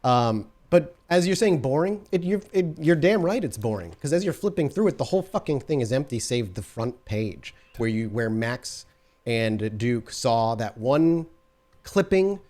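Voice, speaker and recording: A man's voice: medium pitch, sing-song, measured pace, a little mellow. A man running a tabletop role-playing game as storyteller, narrating scenes and answering players, often restarting his sentences. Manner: descriptive, restarts mid-sentence.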